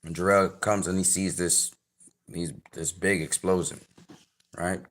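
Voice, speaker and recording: A man's voice: low-pitched, fairly even intonation, measured pace, fairly bright tone, a little hiss or hum. A man with a Trinidadian accent hosting a casual trailer reaction, rambling with many fillers and restarts. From Trinidad and Tobago. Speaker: channel host reviewing a trailer.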